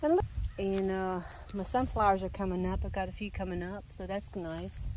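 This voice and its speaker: A woman's voice: mid-range voice, normal speed, darkish tone, slight background noise, rough recording. A woman with a stuffy, nasal voice from congestion, rambling and correcting herself as she walks through her home garden outdoors, filming with a handheld camera. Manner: rambling, self-correcting, sighing. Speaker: gardening vlogger. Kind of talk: casual garden walk-through. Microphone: handheld camera filming while walking.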